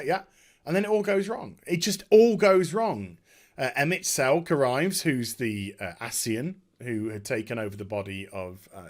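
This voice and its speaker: A man hosting a gaming video, giving a casual, unscripted recap in a halting, digressive way and often correcting himself. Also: fairly high pitch.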